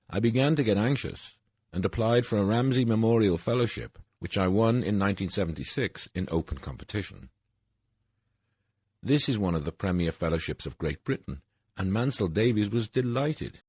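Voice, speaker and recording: A very deep, slightly husky voice from an older man who reads his essay in a measured, formal manner. His pitch swings widely, rising and dropping across each phrase. The sound is dry and close, with no room around it. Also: a little mellow, no echo. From Wales. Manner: measured, formal reading with falling phrase ends. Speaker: essay narrator. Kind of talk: reading an autobiographical essay.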